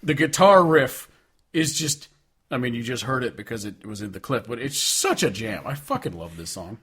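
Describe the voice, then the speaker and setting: Joking, sweary man podcaster, mid-pitched. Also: big pitch swings, normal speed, bright, forceful tone, slightly rough. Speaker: podcast co-host. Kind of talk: casual music discussion.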